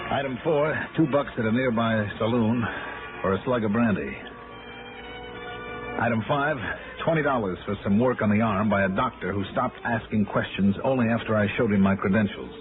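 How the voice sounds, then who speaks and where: An American actor playing a tough, brisk insurance investigator in 1960s radio noir, with clipped, businesslike delivery. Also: male voice, on the low side, natural voice. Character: tough, brisk freelance male insurance investigator, hardboiled and wry. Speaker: lead actor and narrator. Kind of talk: 1960s American radio noir acting and first-person narration. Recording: vintage radio recording, narrow band, music behind.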